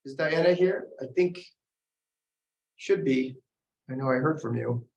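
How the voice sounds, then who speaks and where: A man's voice: mid-range voice, measured pace, gravelly, a little mellow, lo-fi sound. An older man hosting a panel discussion as a professor, rambling a little while he thanks and introduces the guests. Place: exhibition gallery. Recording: roomy gallery echo.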